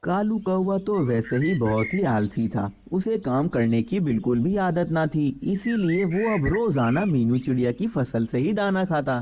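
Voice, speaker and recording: A man's voice: fairly high pitch, very animated, unhurried, few pauses, warm tone, lo-fi sound, a little hiss or hum. A man narrating a scripted children's story as the storyteller.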